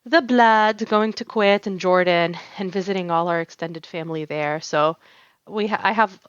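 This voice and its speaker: A woman in her young adult years tells long stories on a podcast, pausing with fillers and restarting her sentences. Her voice sits low and smooth. The recording is dry and slightly muffled. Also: no echo. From United States. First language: Arabic. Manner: storytelling with many fillers and restarts, laughing often. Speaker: guest, tatreez artist. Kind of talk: casual podcast interview.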